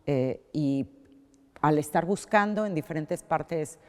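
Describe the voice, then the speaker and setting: A woman's voice: low-pitched, slow, music in the background. A middle-aged woman with a Mexican accent answering interview questions, thoughtful, often restarting phrases. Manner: thoughtful, with many restarts and fillers. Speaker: art collector. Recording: long hall reverb.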